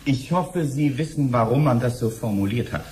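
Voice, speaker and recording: An actor playing a fussy, officious middle-aged bureaucrat in a radio drama, pausing and hesitating as he questions. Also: mid-range voice, animated, a little mellow, natural voice. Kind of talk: 1970s German radio drama acting. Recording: slightly noisy old radio drama recording.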